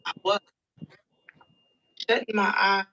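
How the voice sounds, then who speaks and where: A woman's voice: medium pitch, level tone, slow, pushed and bright, dull audio, voice pushed up. A woman voicing a weary sea survivor in an audio play monologue, telling the story in a reflective, folksy way. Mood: wary, reflective. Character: weary storm-tossed survivor recounting near drowning at sea.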